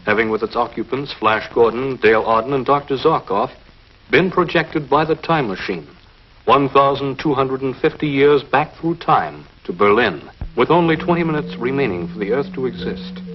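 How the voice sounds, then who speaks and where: A man's voice: medium pitch, moderate pace, slight background noise, close to an everyday voice. A man narrating a 1950s television space serial in a grave, dramatic scripted style. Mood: grave, suspenseful. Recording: dull vintage 1950s TV soundtrack. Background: music.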